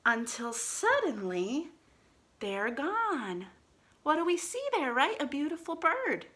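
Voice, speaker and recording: Lady doing kids storytime, highish bright voice, sing-song, talks right to the little ones. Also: slow pace. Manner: expressive, sing-song, encouraging, talking directly to children. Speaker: children's storytime host. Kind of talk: read-aloud storytime with questions to young children.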